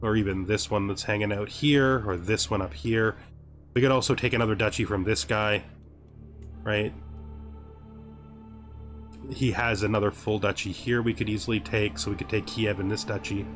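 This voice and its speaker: A man's voice: fairly low pitch, fairly even intonation, normal speed, long pauses, a bit bright, faint music behind. A man doing casual gaming commentary as a let's play host, thinking aloud to himself as he goes.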